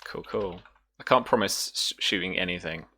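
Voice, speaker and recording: A chatty host runs a tabletop roleplaying stream. The voice sits very low in pitch but has a bright, forceful edge. The delivery is casual and teasing, like talk among friends. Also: moderate pace. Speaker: host and game master. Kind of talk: casual livestream hosting.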